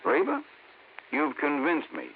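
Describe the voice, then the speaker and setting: A cheerful, agreeable sergeant husband swings his voice high and low with every line in a bouncy, affable way. The voice sits a little high and comes through a narrow, tinny old-radio sound. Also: huge pitch swings, measured pace, a little hiss or hum. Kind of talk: 1950s radio public service skit.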